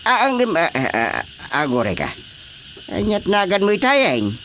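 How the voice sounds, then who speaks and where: A man playing a bemused, patient village chairman in an Ilocano radio comedy drama, with drawn-out hums and probing questions. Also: high-pitched, wildly swooping pitch, a bit bright, slight background noise, exaggerated voice.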